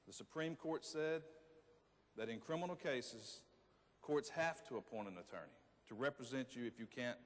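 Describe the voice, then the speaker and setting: A man's voice: medium pitch, close to an everyday voice. Man playing wry plain-spoken convict, reverberant hall. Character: Clarence Gideon, plain-spoken, wry male Florida convict petitioner. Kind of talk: readers theater monologue, reading aloud and addressing audience.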